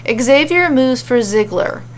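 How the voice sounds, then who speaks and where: A woman's voice: sits high, lively intonation, slow, slight background noise. A woman reading a scripted fantasy adventure story as its narrator, telling it dramatically.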